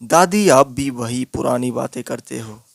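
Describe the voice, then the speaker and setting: A man's voice: mid-range voice, measured pace, vocal fry, no room sound, clean studio sound. A man playing an earnest, stubbornly brave young villager in a cartoon horror story. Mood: dismissive, confident.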